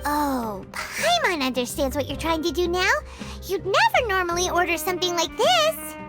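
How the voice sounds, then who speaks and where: Squeaky, excitable little fairy sidekick. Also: female voice, moderate pace. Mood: puzzled, curious. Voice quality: squeaky, childlike. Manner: excitable, refers to self in third person. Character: Paimon, tiny excitable childlike fairy companion, female. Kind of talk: video game voice acting. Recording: background music throughout.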